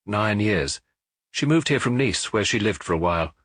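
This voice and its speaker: A man reading an audiobook, voicing a middle-aged male police officer who gives his report in a measured, matter-of-fact way. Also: lowish voice, animated, fairly bright tone, no echo. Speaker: audiobook reader.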